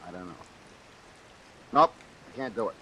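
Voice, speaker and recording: Hired killer: tough, loyal, uneducated slang, forceful and animated, vintage radio crime drama with faint hiss. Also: male voice, on the low side, normal speed. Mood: reluctant. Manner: slangy, ungrammatical tough-guy talk. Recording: hissy, noisy old radio recording.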